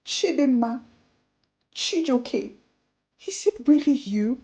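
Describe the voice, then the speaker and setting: A woman's voice: high voice, animated, slow, mellow, voice pushed up, full character voice. A woman storyteller voicing a frail old mother in a folktale, tearful, pleading and full of remorse. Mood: whispering disbelief.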